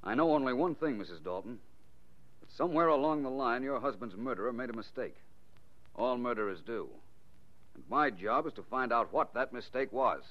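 An American actor playing a wry, self-assured private detective in a 1940s radio drama, speaking formally and articulately. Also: male voice, mid-range voice, animated, fairly quick, natural voice. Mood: cool, probing. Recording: dull, lo-fi 1940s radio recording.

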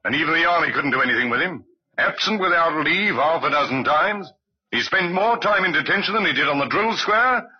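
A man's voice: on the high side, expressive, a little fast, slightly rough. An actor playing a stern, exasperated middle-aged father in an old-time radio drama, blunt and scolding. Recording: muffled old radio recording.

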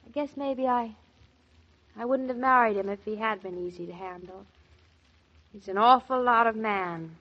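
A woman's voice: a little high, moderate pace, pauses often, lo-fi sound, natural voice. A woman playing a strong-willed, playful young wife and mother in a 1940s radio drama. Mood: earnest, fond.

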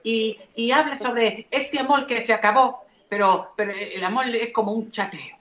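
A man's voice: sits high, moderate pace, bright, forceful tone. A man from the Dominican Republic, a singer and comedian, chatting as an interview guest with many hesitant fillers and restarts. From raised in the Dominican Republic. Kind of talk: casual interview chat. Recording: echoey and dull.

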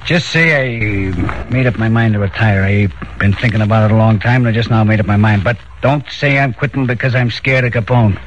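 An actor playing a curt, weary, hard-boiled gang boss in a 1940s radio crime drama, with slangy, commanding lines. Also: male voice, fairly low pitch, a little fast, few pauses, natural voice. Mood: resigned, grim. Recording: noisy, dull 1940s radio recording.

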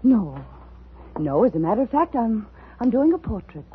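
An actress playing an unstable, haughty woman writer bent on revenge in a 1950s radio thriller, intense and halting, quick to flare. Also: on the high side, sing-song, slight background noise. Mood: brooding, dreamy. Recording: tinny old radio broadcast, music behind.

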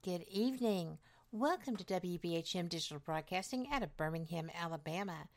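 A woman radio host, hoarse and raspy, chatting enthusiastically with many restarts. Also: lowish voice, animated, brisk, heavy background noise. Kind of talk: casual radio talk.

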